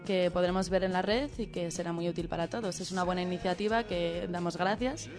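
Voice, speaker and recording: A woman's voice: lowish voice, fairly even intonation, fairly quick. A woman with a Spanish accent from Spain, co-hosting a radio show in an informal presenting style. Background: music.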